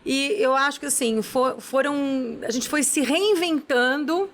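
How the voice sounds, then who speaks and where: Woman guest on a TV talk show, higher voice, eager and talkative, faint hiss behind. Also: a little slow. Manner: enthusiastic, explains at length with many "né?" tags. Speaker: executive director of a charity, guest. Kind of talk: casual interview talk. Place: TV studio.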